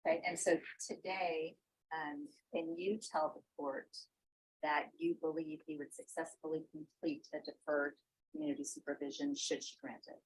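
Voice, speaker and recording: A woman's voice: sits low, steady tone, normal speed, a little hiss or hum, poor audio. An American woman working as a defense attorney, questioning formally in a courtroom.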